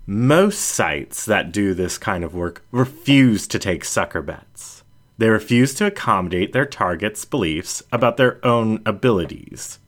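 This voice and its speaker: A man reads a first-person story aloud in a low voice. His intonation swings widely, and his phrasing is deliberate and emphatic, in the manner of an audiobook narrator. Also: fairly bright tone. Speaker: audiobook reader.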